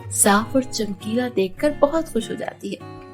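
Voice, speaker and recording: A woman narrating a children's cartoon story with an expressive storytelling voice. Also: fairly high pitch, measured pace, soft background music.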